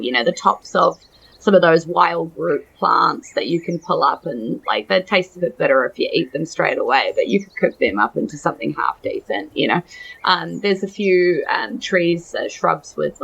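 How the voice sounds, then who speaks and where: A woman's voice: low-pitched, gravelly, a bit bright, breathy edge, highs cut off. A woman with a New Zealand accent casually narrating a tabletop game as dungeon master.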